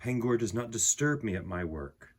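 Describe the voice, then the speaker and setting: A man reading a picture book aloud to children, gently addressing his young listeners with a husky voice. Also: fairly low pitch, lively intonation, normal speed. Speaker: storyteller, picture book reader.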